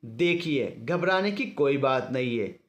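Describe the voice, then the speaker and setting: A man's voice: mid-range voice, animated, a little slow, natural voice. A man voicing a female doctor in an audio story, explaining things politely and reassuringly.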